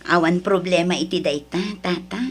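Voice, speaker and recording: A woman playing a young, soft-spoken girlfriend in an audio drama, with a Filipino accent. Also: on the low side, fairly even intonation, moderate pace. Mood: polite, shy. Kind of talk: Ilocano audio drama acting.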